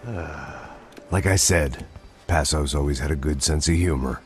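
An actor playing a bitter middle-aged ex-cop, narrating noir-style in an American accent with a sardonic, deadpan delivery. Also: male voice, a bass voice, unhurried. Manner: world-weary, sardonic, deadpan. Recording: loud music behind the voice.

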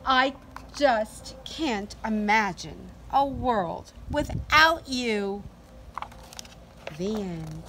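A middle-aged woman doing an expressive, deliberate read-aloud storytime for young children. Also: a little high. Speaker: teacher reading to children. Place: outdoors in a sunny backyard. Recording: outdoor ambient noise.

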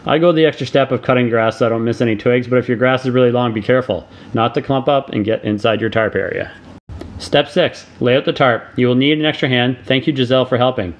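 Man giving steady step-by-step instructions. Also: mid-range voice, fast talker. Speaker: DIY channel host. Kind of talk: scripted voice-over tutorial.

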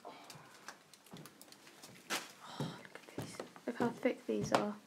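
A woman's voice: medium pitch, level tone, slow, gravelly, heavy background noise. A young woman with a nervous, whispery manner, chatting casually while exploring an empty house on a phone live stream. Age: young adult. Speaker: urban explorer vlogger. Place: abandoned house indoors. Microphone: phone held at arm's length.

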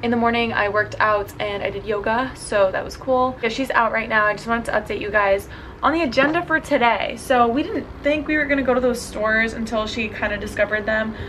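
Young woman, bubbly travel vlog chat, slightly high voice, handheld camera, noisy background. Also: fairly bright tone. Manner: bubbly, chatty, quick asides. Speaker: travel vlogger. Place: car, then hotel room. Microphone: handheld camera at arm's length.

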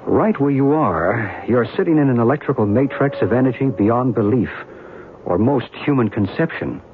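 A man's voice: mid-range voice, expressive, normal speed, natural voice. An actor playing a knowing, courteous and mysterious American man in a 1970s radio drama. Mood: cryptic, assured. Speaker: actor and narrator. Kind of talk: 1970s radio drama acting and first-person narration. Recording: narrow-band, noisy old radio broadcast.

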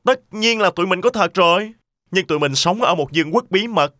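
A man's voice: high voice, animated, brisk, pushed and bright, no room sound, clean studio sound. An actor playing a cheerful, confident boy hero in a children's cartoon. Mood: friendly, proud.

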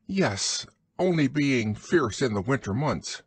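A man voicing a bossy, scheming male rabbit from a storybook, brisk and instructing in manner. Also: medium pitch, very animated, breathy edge, no room sound, voice pushed up, heavily acted. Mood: explaining.

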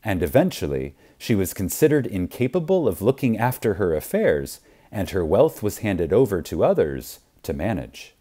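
A man narrating an audiobook in a reverent, emphatic manner with deliberate, dramatic pauses. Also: sits low, very animated, mellow.

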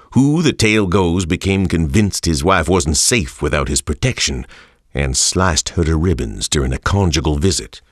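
Deep, solemn prison chronicler. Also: male voice, no room sound, clean studio sound, exaggerated voice. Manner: solemn, storytelling. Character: grave man reciting eerie prison case notes. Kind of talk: scripted game narration.

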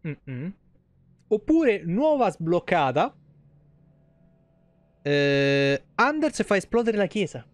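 High-pitched man streaming, dry close sound. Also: no echo. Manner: foul-mouthed, joking, rambling. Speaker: game streamer. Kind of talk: casual live gameplay commentary. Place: small indoor streaming room at home. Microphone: close streaming microphone.

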